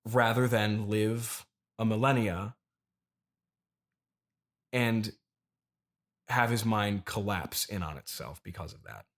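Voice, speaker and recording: A man playing a grandiose, evasive immortal turnip farmer and grieving mystic in an improvised comedy interview, speaking haltingly and pompously. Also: lowish voice. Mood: grandiose, mournful.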